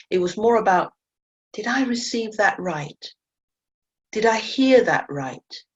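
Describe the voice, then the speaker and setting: Woman instructor, English not her first language, lowish voice, dull lo-fi call audio, earnest and reflective. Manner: earnest, reflective, with self-corrections. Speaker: animal communication teacher. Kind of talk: webinar talk to learners. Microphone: video call mic.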